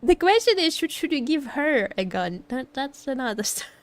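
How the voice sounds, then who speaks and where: A woman's voice: sits high, big pitch swings, normal speed, no echo. A woman streaming as a VTuber, chatting casually about a game in a teasing, joking, giggly way. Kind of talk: casual livestream chat reacting to a game.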